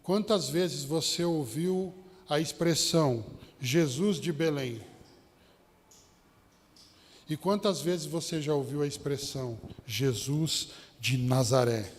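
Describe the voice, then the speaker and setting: A man's voice: on the high side, slow. A man with a São Paulo Brazilian accent preaching a sermon as a Baptist pastor, addressing his listeners directly with rhetorical questions and anecdotes. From São Paulo state, Brazil. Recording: long hall reverb.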